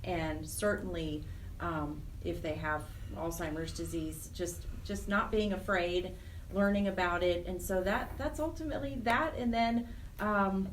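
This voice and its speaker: A woman's voice: on the low side, steady tone, measured pace. A middle-aged woman speaking conversationally as an interview guest, earnest and often searching for her words. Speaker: interview guest, program director of a nonprofit. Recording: roomy, distant mic, faint noise.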